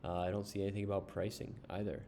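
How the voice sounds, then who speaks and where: Low gravelly man reviewing a website. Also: level tone, mellow, a little hiss or hum. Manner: unhurried, with frequent ums and uhs while browsing. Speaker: reviewer of online writing services. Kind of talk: casual screen-share review.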